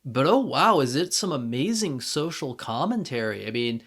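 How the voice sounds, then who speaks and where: A man co-hosting a podcast, talking casually as a fan and thinking aloud in a halting way. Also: medium pitch, sing-song, a bit bright. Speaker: podcast co-host.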